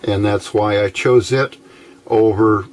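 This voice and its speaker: A man giving a casual, unscripted technical explanation as a hobbyist presenter, haltingly, thinking aloud as he goes. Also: lowish voice, fairly even intonation, a little mellow. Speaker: amateur radio hobbyist presenting a how-to video.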